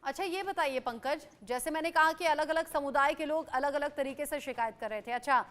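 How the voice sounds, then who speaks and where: A woman's voice: high-pitched, a little fast, fairly bright tone. A woman anchoring live television news, stating and repeating key points emphatically and putting questions to a correspondent.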